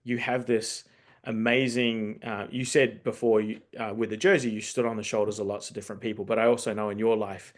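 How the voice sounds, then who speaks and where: A man's voice: on the low side, flat delivery, fairly quick. A man who grew up in New Zealand, hosting a casual interview and thinking aloud as he asks long, circling questions. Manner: rambling, thinks aloud, circles back to rephrase. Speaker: host, coach developer.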